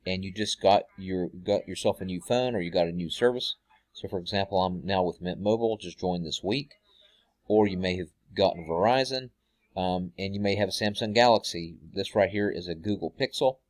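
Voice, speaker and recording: Guy doing a casual how-to video, lowish voice, dry sound at home. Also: moderate pace, dry recording. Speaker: tech help video host. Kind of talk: casual tutorial talk. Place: small room at home, indoors.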